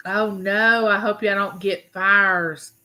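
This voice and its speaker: A woman's voice: medium pitch, fairly even intonation, slow, bright, forceful tone. A woman in her fifties with a Southern US accent, chatting casually with viewers as host of her own livestream, folksy and drawn out. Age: 50s. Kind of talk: casual live chat with viewers.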